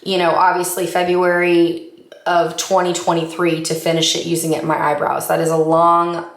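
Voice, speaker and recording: A woman vlogger chatting about her makeup progress in an upbeat, enthusiastic, rambling way, her voice a little raspy from allergies. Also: fairly low pitch, completely flat delivery, moderate pace. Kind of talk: casual chatty update. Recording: echoey room.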